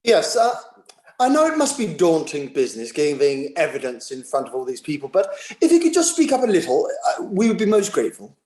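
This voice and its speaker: Animated, courteous inquiry chairman played by a man, echoey. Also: on the high side, a little fast. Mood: courteous, coaxing. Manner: polite, hesitant, considerate. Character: courteous male inquiry chairman addressing a nervous witness. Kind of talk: courtroom drama table read. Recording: roomy, echoey sound.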